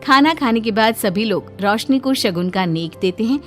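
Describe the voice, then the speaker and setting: A woman narrating a scripted family story in an expressive storytelling manner. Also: fairly high pitch, moderate pace, faint music behind.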